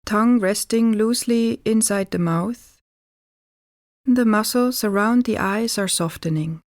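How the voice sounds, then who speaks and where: A woman guiding a relaxation meditation as a yoga teacher, calm and deliberate, giving gentle instructions with pauses. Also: mid-range voice, no echo, studio quality.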